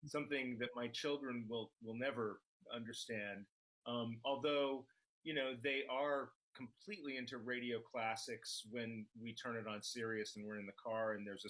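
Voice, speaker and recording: A middle-aged man talking as an interview guest, with halting, thoughtful phrasing. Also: medium pitch, bright, forceful tone, low-quality recording. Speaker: podcast creator, interview guest. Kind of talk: conversational interview.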